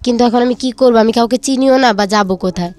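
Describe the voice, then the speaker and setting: A woman's voice: a little high, a little fast, darkish tone, faint music behind. A young woman with a Bangladeshi accent telling her personal life story as an interview guest, a student. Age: young adult.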